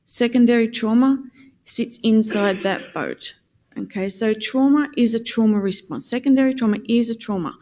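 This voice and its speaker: Quiet-voiced woman lecturing. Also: fairly high pitch, moderate pace, a little hiss or hum. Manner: informal, chatty, self-correcting. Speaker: conference speaker, nurse educator. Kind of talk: presenting a lecture to nurses. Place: conference room. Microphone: handheld or stand microphone, at times held away.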